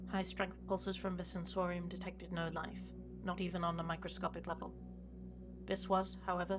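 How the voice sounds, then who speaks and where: A woman playing a dutiful, formal starship prefect with a measured, official manner in a sci-fi audio drama. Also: fairly low pitch, close to an everyday voice. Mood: official, dictating.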